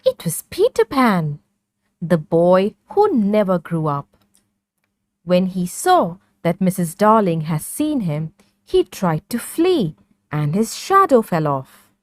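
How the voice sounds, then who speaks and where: A woman narrating a scripted children's story in a measured, clearly phrased read-along manner. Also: lowish voice, sing-song, dry recording, clean studio sound.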